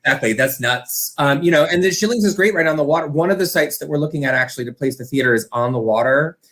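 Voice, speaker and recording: A man's voice: fairly high pitch, quick pace, fairly bright tone. A man speaking as an interview guest, a community theater director, chatting casually and explaining things with plenty of filler words.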